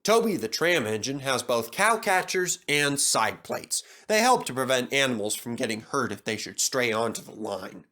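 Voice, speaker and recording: Guy reading a kids story out loud, lively expressive delivery, mid pitch. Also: normal speed, hardly any pauses. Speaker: storybook narrator. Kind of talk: scripted children's story narration.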